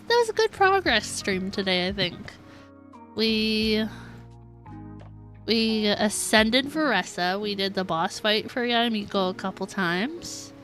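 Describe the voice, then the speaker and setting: A woman's voice: on the high side, fairly bright tone. A woman game streamer chatting casually with her viewers, thinking aloud in a giggly, chatty way as she plays. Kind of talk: casual livestream chat while playing a game. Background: background music.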